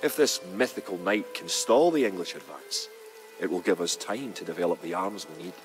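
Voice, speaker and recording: An actor playing a grave, resolute medieval clansman, narrating a war campaign in a solemn, measured, dramatic style. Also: low-pitched, sing-song. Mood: solemn, stirring. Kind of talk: dramatic scripted storytelling narration. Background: music behind the voice.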